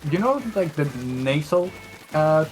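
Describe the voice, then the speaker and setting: A man's voice: on the high side, big pitch swings, measured pace, poor audio. A man whose first language is not English, chatting animatedly on a gaming stream and often searching for words. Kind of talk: casual gaming chat.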